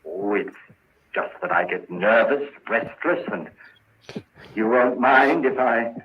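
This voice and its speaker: A man's voice: mid-range voice, animated, slow pace. An actor playing a guilty, tormented madman in a 1940s radio drama, stammering and pleading melodramatically. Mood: nervous, restless. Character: agitated guilty madman tormented by imagined heartbeat. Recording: muffled, noisy old-time radio recording.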